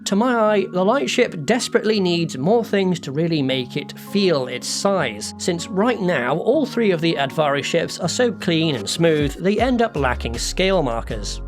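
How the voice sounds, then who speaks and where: A man giving a conversational scripted voice-over as a hobbyist artist explaining his work, with wry, self-deprecating asides. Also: a little high. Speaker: hobbyist 3D artist narrating a making-of video. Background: music.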